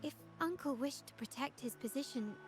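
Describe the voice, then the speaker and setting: A woman playing a composed, shrewd young noblewoman of a crime family in a video game, speaking in a measured, formal way. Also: high voice, music in the background, close to an everyday voice. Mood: pensive, analytical.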